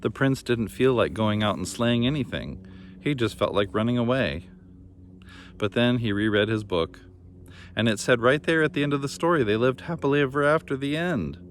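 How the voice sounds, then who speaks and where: A man's voice: mid-range voice, normal speed. A man narrating a children's fairy tale expressively as a storybook reader. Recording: noticeable background noise.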